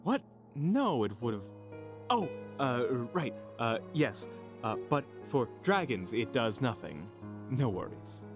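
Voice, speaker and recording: A man playing a bumbling, slightly sly young spellcaster in a fantasy audio drama, halting and dramatic. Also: medium pitch, sing-song, music in the background. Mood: flustered.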